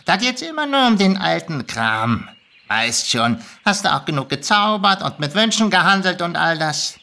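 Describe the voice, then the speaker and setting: Woman as a cocky goblin, bright and forceful. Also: fairly low pitch, big pitch swings, normal speed, vocal fry, exaggerated voice. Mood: laid-back, self-satisfied. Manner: casual, colloquial, drawling, unfazed, boastful. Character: cocky, laid-back small grubby male goblin with big nose.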